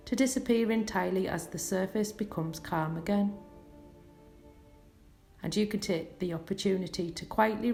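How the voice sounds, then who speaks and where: A woman hypnotherapist reading a scripted sleep hypnosis in a soothing, measured, lulling way with gentle pauses. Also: mid-range voice, vocal fry. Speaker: clinical hypnotherapist leading a session. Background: relaxation music.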